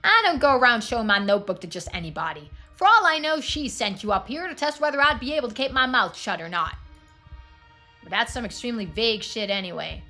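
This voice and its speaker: A woman's voice: on the high side, animated, quick pace, pushed and bright, soft background music, voice pushed up. Woman acting hot-tempered, folksy parent. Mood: irritated. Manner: folksy, threatening, foul-mouthed drawl. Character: hot-tempered rural parent guarding a monstrous son. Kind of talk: video game character dialogue.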